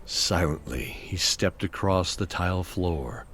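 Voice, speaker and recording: A man's voice: low voice, slow pace, fairly bright tone, soft background music. A man giving scripted narration of a fantasy story as its narrator.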